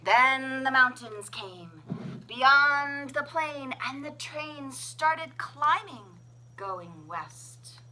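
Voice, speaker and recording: A woman reading a children's picture book aloud as a librarian, with expressive, sing-song, deliberate phrasing. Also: high-pitched, a little hiss or hum, poor audio. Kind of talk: children's storybook read-aloud.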